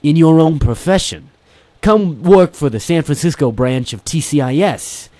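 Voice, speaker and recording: A man's voice: fairly high pitch, lively intonation, normal speed, dark tone, dry recording, voice pushed up, close to an everyday voice. Man playing old investigator, formal, paternal, insistent, radio detective drama, prominent music underneath. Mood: insistent, salesmanlike. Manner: formal, avuncular, addresses listener as boy and son. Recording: music behind the voice.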